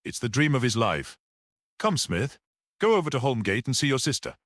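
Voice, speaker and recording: A man's voice: mid-range voice, big pitch swings, normal speed, fairly bright tone, no echo, studio quality, voice pushed up. A man voicing a grim, coolly defiant storybook character with a curt, sinister politeness. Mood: urging. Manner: curt, impatient, urging.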